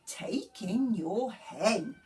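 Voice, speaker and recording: A woman voicing a calm, wise old man from a storybook, giving instructions in a measured way. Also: medium pitch, far from the mic, slight background noise. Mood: calm, commanding.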